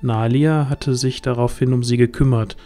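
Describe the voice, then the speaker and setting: A man's voice: mid-range voice, steady tone, normal speed, mellow, faint music behind. A man reading scripted narration as the storyteller of a fantasy audio drama.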